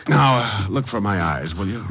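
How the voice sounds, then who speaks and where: A man's voice: on the low side, lively intonation, normal speed, heavy background noise. A man playing a tough, sardonic, wisecracking private detective in an old radio drama, with a dry, flippant delivery. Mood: weary, sardonic. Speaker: lead actor and narrator. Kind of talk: 1940s-50s radio detective acting. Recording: dull vintage radio recording, faint music.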